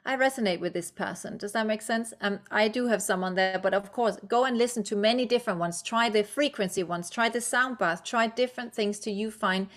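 A woman's voice: mid-range voice, fairly quick, few pauses. A woman from Denmark whose first language is Danish, a guest author and coach speaking English in a podcast interview, encouraging and reflective with frequent fillers.

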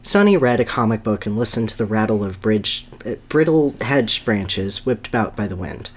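Podcast story reader, mid-range voice, animated and amused, comfortable dropping into commentary. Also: mid-range voice, moderate pace. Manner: amused, breaking into asides. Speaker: podcast co-host. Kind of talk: dramatic reading of a children's story.

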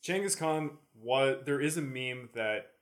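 Medium-pitched man, informal interjections. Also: expressive, measured pace. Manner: informal, interjecting, mildly profane. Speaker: podcast co-host. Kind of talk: casual chat.

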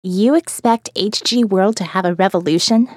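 A woman's voice: medium pitch, moderate pace, darkish tone, dry recording, studio quality, natural voice. A woman playing a smart, wary survivor who narrates her own diary in audio fiction, wry and challenging. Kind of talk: first-person audio fiction narration and dialogue.

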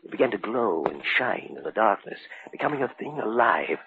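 A man's voice: on the low side, big pitch swings, brisk, creaky, noisy background. A man playing a nervous, lonely collector of legends in a 1940s radio horror play, telling tales theatrically. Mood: eerie storytelling. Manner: theatrical, hesitant, chuckling storyteller with dramatic swells. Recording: thin lo-fi vintage radio recording, music.

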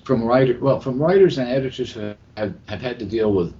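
A man hosting a podcast interview, conversational, rephrasing his questions as he goes in a halting way. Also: mid-range voice, warm tone. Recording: muffled, noisy live hotel internet-call recording.

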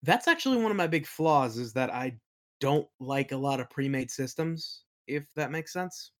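Male game master, mid-pitched voice, casual storytelling, rambling, colloquial, interview guest. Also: lively intonation, normal speed, fairly bright tone. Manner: rambling, colloquial, acts out quoted remarks. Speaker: guest, game master and audio play creator. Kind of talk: casual chat, anecdotes.

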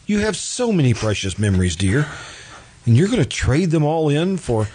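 A man acting an earnest, lovesick, quietly obsessive man in an old-time radio drama, halting and pleading as he repeats himself. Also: a little high, big pitch swings, husky. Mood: urgent pleading. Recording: noisy amateur radio drama recording.

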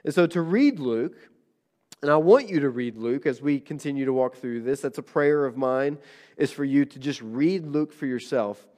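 A man's voice: medium pitch, moderate pace, a little mellow. A male pastor giving a conversational sermon, nasal from a recent illness, with frequent fillers and restarts.

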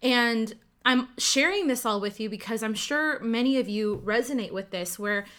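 A woman's voice: fairly high pitch, moderate pace, fairly bright tone. Candid woman telling her story. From Ottawa, Canada. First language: Cantonese. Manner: candid, conversational, frequent "like" fillers. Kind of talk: personal storytelling, casual.

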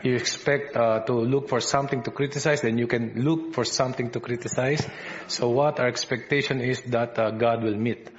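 A man with a Filipino accent preaches a sermon to a congregation, stopping often with fillers and restarting his sentences. His voice sits at an ordinary middle pitch. The church recording sounds a little dull and lo-fi. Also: steady tone. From Philippines. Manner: halting, many fillers and restarts. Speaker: visiting preacher. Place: church service.

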